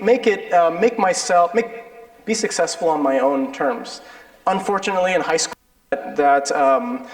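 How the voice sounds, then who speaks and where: A man with an American accent giving an informal, conversational, self-deprecating lecture with personal anecdotes. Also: fairly high pitch. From born in Peru, grew up in the United States. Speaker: lecturer, dental implant surgeon. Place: indoor lecture hall with an audience. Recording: echoey hall with long reverb.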